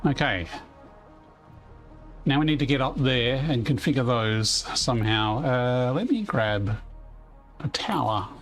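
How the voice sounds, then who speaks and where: A man with a naturally loud voice, talking in an easy, rambling way while he plays a building game live. His pitch sits at an ordinary middle level, and soft music plays faintly behind him. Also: lively intonation, slow, pauses often. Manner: rambling, chatty, reads chat aloud. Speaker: game streamer. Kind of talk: casual live-stream chat while playing.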